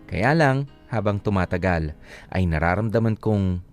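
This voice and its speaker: Low-voiced Filipino man narrating a letter. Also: lively intonation, unhurried. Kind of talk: first-person dramatic radio narration. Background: soft guitar music.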